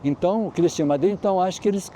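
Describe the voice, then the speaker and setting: Dark-voiced Brazilian man, halting storyteller. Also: sits high, a little hiss or hum. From Brazil, Espírito Santo. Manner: rambling, halting with restarts. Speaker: local historian guide. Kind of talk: on-site interview, explaining history.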